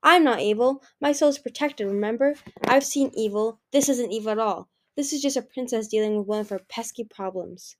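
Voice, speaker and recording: A spoiled young dragon princess, put on by a woman reading the story, talks in a lifted, wheedling way that tips into whining when cornered. The tone is soft and mellow rather than sharp. She sounds young, pampered and used to getting her way. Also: on the high side, a little fast, hardly any pauses, natural voice. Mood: sugary. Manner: bright, wheedling and whiny.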